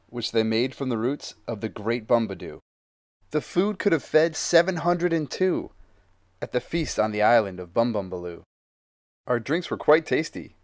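A man's voice: medium pitch, darkish tone, dry recording, studio quality, natural voice. A man voicing a grand, boastful male sea explorer who tells of his voyage in rhyming verse. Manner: rhyming, grand storytelling delivery.

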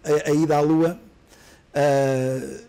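A middle-aged man presenting a book fair program outdoors in a park at a podium microphone, animated, with many fillers and restarts. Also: a little high, slow pace, clean tone. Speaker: festival programmer. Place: outdoor park, under trees.

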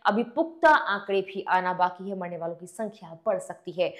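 A woman's voice: on the low side, expressive, moderate pace, noisy background. A woman in her thirties presenting a scripted news explainer, recorded on a lapel mic in a studio. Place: indoor studio.

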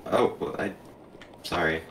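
A man's voice: sits low, unhurried, vocal fry, fairly bright tone, slight background noise. A man bantering casually over gameplay in a dry, sarcastic way. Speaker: gamer co-playing on a shared controller. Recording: distant mic, faint game music. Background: faint video game music and sounds.

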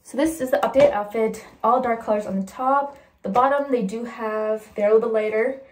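A woman's voice: on the high side, brisk, a little mellow. A young adult woman casually narrating her day for a vlog, chatty and full of fillers. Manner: chatty, filler-heavy, talks to the viewer. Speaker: vlogger.

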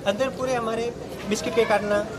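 High-voiced man on a noisy night street. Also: flat delivery, fairly quick. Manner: rambling, repetitive explanation. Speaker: shop owner's brother. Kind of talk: on-the-spot interview. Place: outdoors on a busy street at night. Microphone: handheld news mic. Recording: loud street and traffic noise.

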